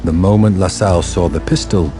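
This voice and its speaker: A deadpan male storyteller voiced by an actor for a radio crime drama. His voice is deep and his phrasing measured. Music runs clearly underneath the narration. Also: natural voice. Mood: grave.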